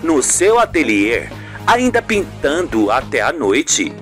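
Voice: mid-range voice, very animated, slow pace, a bit bright, soft background music. A narrator telling a scripted radio soap opera story.

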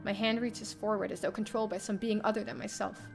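A young woman streamer reading a visual novel aloud as expressive, dramatic first-person narration. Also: mid-range voice, fast talker, creaky, music in the background. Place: indoor room, livestream setup.

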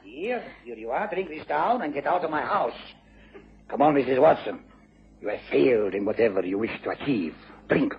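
Man playing smug, animated blackmailing villain. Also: medium pitch, moderate pace, natural voice. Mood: dismissive. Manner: mock-courteous, gloating. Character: smug, menacing, gallant blackmailing villain, a foreign gentleman. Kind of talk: radio drama acting. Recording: dull, noisy old radio recording.

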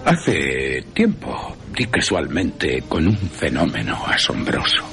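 Man acting out a slow, grave vampire-hunting professor. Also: a bass voice, huge pitch swings, vocal fry, over music, full character voice. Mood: intrigued, leading. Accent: Castilian Spanish. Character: learned, patient, resolute old vampire-hunting professor.

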